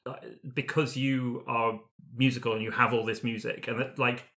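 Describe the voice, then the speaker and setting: Bright-voiced man chatting casually. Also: medium pitch, flat delivery, normal speed. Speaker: tabletop roleplay player. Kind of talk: casual group discussion.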